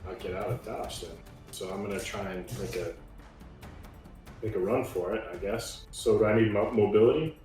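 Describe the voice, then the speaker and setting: A man's voice: lowish voice, steady tone, moderate pace, dark tone. A man chatting casually as a player in a tabletop roleplaying game. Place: echoey room, away from the mic. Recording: very echoey room, distant mic, faint music.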